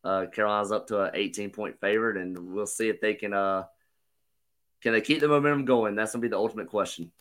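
A man co-hosting a sports podcast, slightly hoarse, talking through analysis in a measured way. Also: fairly low pitch, a bit bright. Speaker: podcast co-host. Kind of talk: casual sports chat.

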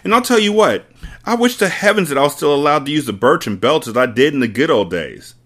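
A man's voice: on the high side, expressive, fast, voice pushed up. A man doing a bellowing bully headmistress. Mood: bellowing scornful. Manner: barking, shouting, contemptuous. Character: bullying hulking female headmistress, furious.

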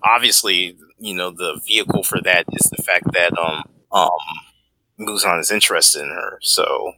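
A man's voice: low voice, fairly even intonation, normal speed, sharp tone, low-quality recording. A man co-hosting a podcast in casual fan discussion, jokey and often laughing. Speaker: podcast co-host.

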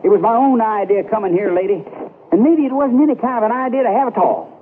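An actor playing a worn-out, stubborn and good-hearted old horse trooper, with a folksy American drawl, in radio Western style. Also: male voice, high voice, lively intonation, quick pace. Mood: bitter, defiant. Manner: folksy, ungrammatical Western drawl, blunt and earnest. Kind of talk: 1970s radio Western acting. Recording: tinny, noisy vintage radio broadcast.

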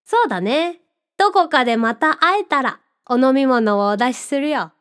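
A woman voicing a friendly, contented talking cat who runs a drink stall in an audio drama, speaking gently and politely with a playful touch. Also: high voice, animated, normal speed, clean tone. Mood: pleased, gentle. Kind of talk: Japanese audio drama acting.

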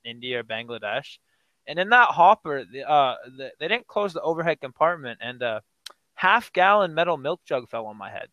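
A teenage male podcast guest who answers with dry, deadpan jokes in a casual interview. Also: fairly high pitch, normal speed, dry recording, dull audio. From United States. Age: teens.

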